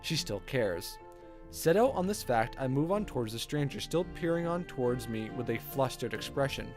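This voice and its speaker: A man's voice: medium pitch, animated, normal speed. A man streaming a visual novel, reading its narration aloud and adding casual commentary. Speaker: game streamer. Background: game music.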